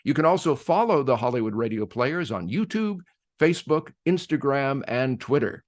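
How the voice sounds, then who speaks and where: A man hosting, reading a scripted introduction to a radio play in a measured, emphatic announcer-like way. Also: medium pitch, big pitch swings.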